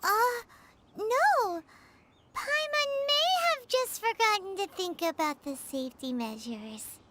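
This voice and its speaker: A woman voicing a small, excitable, childlike fairy companion in a video game, squeaky and exclamatory. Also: slow, slight background noise, exaggerated voice. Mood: puzzled, scheming. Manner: exclamatory, refers to self in third person. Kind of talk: animated video game dialogue. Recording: game sound effects over voice.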